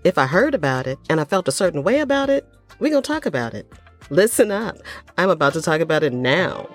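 A woman's voice: low voice, sing-song, fairly quick, faint music behind. An older woman hosting her own podcast in a casual, folksy chat, a little stuffy from a cold, with plenty of ums. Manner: chatty, folksy, lots of ums. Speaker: podcast host.

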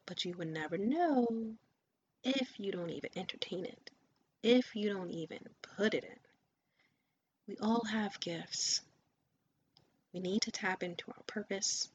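A woman's voice: medium pitch, measured pace, pauses often. Woman coach giving a pep talk, earnest, slips into whispers, kinda dull lo-fi sound w faint hiss. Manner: earnest, encouraging, often drops to a whisper. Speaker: podcast host and coach. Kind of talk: motivational monologue.

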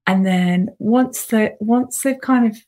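A woman's voice: on the high side, slow pace, mellow. A woman tour guide, chatting in a friendly way with hesitant restarts over a video call. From Britain. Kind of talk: casual guest chat on a video call.

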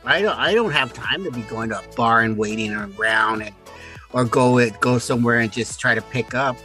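A middle-aged man chatting casually as a podcast co-host, often halting and repeating his words. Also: medium pitch, sharp tone, faint music behind. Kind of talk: casual conversational chat.

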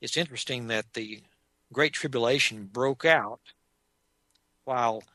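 A man with a Texan accent giving an unscripted lecture on church history, measured and explanatory, often pausing on "uh". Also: mid-range voice, bright, forceful tone, no echo. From Texas. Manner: measured and explanatory, with frequent "uh" pauses. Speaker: Bible teacher.

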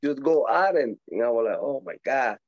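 A man in his forties from Puerto Rico, with a Puerto Rican Spanish accent, speaking as a guest in a casual interview, animated, laughing often, with halting English. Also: mid-range voice, no echo, dull audio. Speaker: boxer guest.

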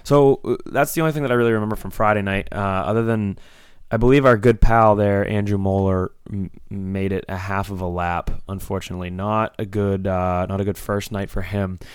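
A young man co-hosting a podcast with a slightly raspy voice, telling a long party story in a rambling, animated way with lots of fillers. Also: on the low side, a little fast, no echo, clean studio sound. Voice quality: a little raspy. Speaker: podcast co-host. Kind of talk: casual storytelling chat.

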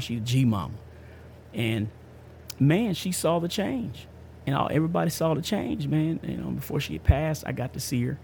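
A man's voice: fairly high pitch, gravelly, darkish tone, a little hiss or hum. A man in his fifties hosting a podcast, giving a casual personal testimony in an emphatic, slangy way, often repeating himself. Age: 50s. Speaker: podcast host.

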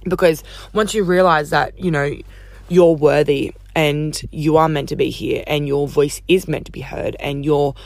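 A woman hosting a casual solo podcast, chatty and upbeat, swearing casually, her voice croaky from talking all day. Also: sits low, fairly even intonation, measured pace, dry recording. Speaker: podcast host.

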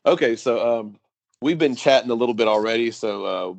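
A man's voice: medium pitch, fairly quick, gravelly, no room sound. A man from the United States hosting a podcast, chatting loosely with lots of fillers. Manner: relaxed, rambling with fillers. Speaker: podcast host. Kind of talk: casual interview chat.

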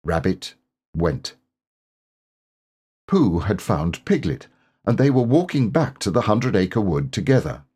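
Male children's storyteller, low husky voice, unhurried, highly varied intonation. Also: big pitch swings, dark tone. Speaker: audiobook narrator. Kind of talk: expressive children's storybook narration.

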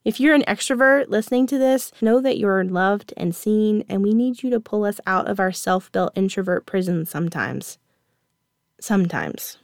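A woman in her thirties hosting her own podcast, reading a reflective monologue in a gentle, earnest, measured way. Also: medium pitch, lively intonation, dry recording, clean studio sound. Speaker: podcast host.

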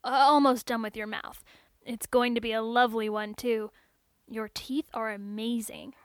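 A woman's voice: fairly high pitch, fairly even intonation, moderate pace, smooth, dry recording, clean studio sound, close to an everyday voice. A woman voicing a chatty, geeky young male robot technician who talks in an eager, rambling, slightly awkward way in audiobook dialogue. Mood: reassuring.

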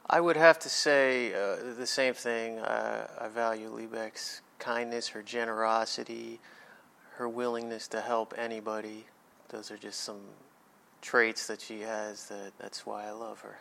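A man from New Jersey in his thirties, answering interview questions in an easygoing, measured way. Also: fairly low pitch, little pitch movement, creaky, a bit bright. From New Jersey, USA. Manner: easygoing, measured, some hesitations. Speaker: guest.

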